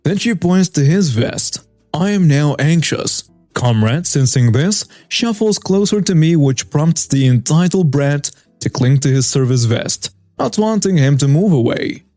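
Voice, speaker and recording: A man's voice: fairly high pitch, expressive, measured pace, mellow, dry recording. A young man narrating a first-person story in an easygoing, wry tone. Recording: dry close voice over faint music.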